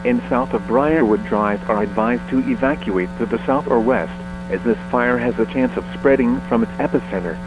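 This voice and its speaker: A man reading a scripted emergency broadcast announcement in a formal, measured way. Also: mid-range voice. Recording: muffled narrow-band alert audio.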